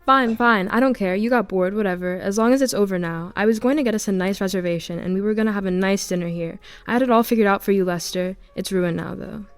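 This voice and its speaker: Creaky-voiced, entitled young woman acted out by a woman. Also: medium pitch, quick pace, few pauses, no echo, faint music behind, lightly acted. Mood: hurt, angry. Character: wealthy, entitled young woman, possessive jilted student girlfriend. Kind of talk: amateur radio drama acting.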